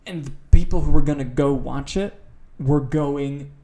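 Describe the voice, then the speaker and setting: A man's voice: fairly high pitch, normal speed, mellow. A man co-hosting a podcast, chatting casually about film news in an opinionated, sweary, informal way. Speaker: podcast co-host.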